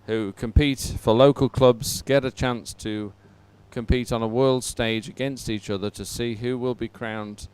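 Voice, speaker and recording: A man's voice: mid-range voice, fairly even intonation, a little slow, few pauses, a little mellow, smooth. A man presenting live coverage of a sporting event to viewers, talking as a broadcast host.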